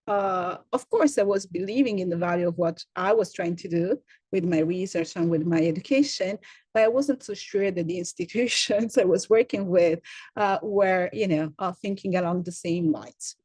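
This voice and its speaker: A middle-aged woman with an Italian accent speaks in a lowish voice, pausing often on "uh" as she looks for the right words. The sound is dry and close, as over a computer mic on a video call. She addresses listeners as a program director giving a welcome talk. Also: darkish tone, breathy edge, no room sound. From Italy. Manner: frequent "uh" fillers, searching for words.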